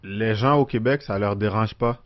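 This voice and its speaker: A man's voice: fairly low pitch, moderate pace, highs cut off. A man with a Québécois French accent, co-hosting a casual podcast, joking and talking back with slang. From Quebec. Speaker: podcast co-host. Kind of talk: casual chat.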